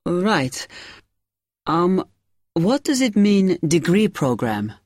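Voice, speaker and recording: A polite young international student speaks in a low voice with a hesitant, questioning manner. The everyday acted dialogue is recorded dry, with no room sound. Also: female voice, big pitch swings, takes it slowly, a little mellow, no room sound, full character voice. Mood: polite, unsure. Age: young adult.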